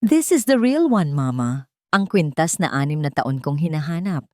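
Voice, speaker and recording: Babaeng gumaganap bilang galit at nasasaktang anak na lalaki. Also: female voice, deep voice, animated, moderate pace, warm tone, dry recording, clean studio sound. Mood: firm, accusing. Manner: accusing, emphatic, pleading for truth. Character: angry anguished grown son confronting his mother. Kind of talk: Tagalog radio drama acting.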